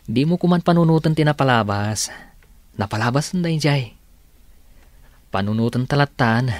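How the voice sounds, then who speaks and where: Actor as warm, reassuring young husband, dry studio. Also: male voice, mid-range voice, no room sound, close to an everyday voice. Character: supportive, cheerful young husband in a family. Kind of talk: Filipino radio drama acting. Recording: dry studio, music underneath.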